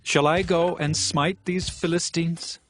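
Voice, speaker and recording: An actor playing a young outlaw leader in a dramatized audio Bible, speaking earnestly and resolutely. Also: male voice, fairly high pitch, steady tone, unhurried, a bit bright, slight background noise. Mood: earnest resolve.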